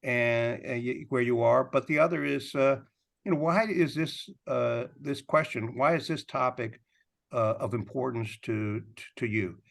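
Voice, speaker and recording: Man, panel moderator, halting delivery, lots of uh fillers, video call audio. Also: mid-range voice, gravelly. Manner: halting, many uh fillers and restarts. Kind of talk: hesitant spoken introduction.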